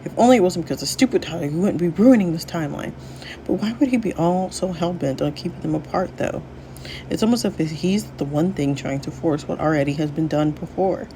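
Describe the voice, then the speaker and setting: A woman's voice: low voice, fairly quick, warm tone, a little hiss or hum, poor audio. A woman voicing a worried, pensive young granddaughter who meddles as a matchmaker in a story. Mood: exasperated.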